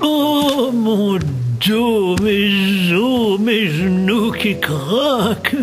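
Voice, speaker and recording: Very slow, wily old servant. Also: male voice, high voice, lively intonation, music in the background, full character voice. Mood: groaning. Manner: slow, groaning, sly. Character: wily old complaining royal servant, aching and sardonic. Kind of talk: animated fairy-tale dialogue.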